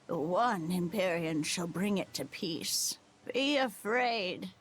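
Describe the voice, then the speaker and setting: An actress playing a prickly, portentous older village elder preaching doom in a video game. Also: female voice, fairly low pitch, lively intonation, slight background noise. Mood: ominous. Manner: slow, portentous, easily indignant.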